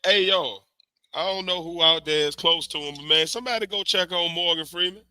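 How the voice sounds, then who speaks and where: A man's voice: on the high side, brisk, smooth, no room sound. A man hosting a podcast in casual, slangy chat, talking through a cold. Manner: loose, animated, slangy banter. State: has a cold. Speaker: podcast host. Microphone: remote stream mic.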